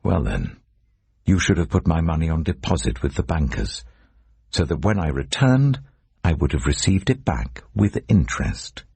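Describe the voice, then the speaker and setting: A stern master of great wealth, acted by a male narrator, with a very low, rough and husky voice. He rebukes severely and deliberately, creaking on his words, as in an audio scripture drama. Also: very animated, a little mellow, no echo, heavily acted.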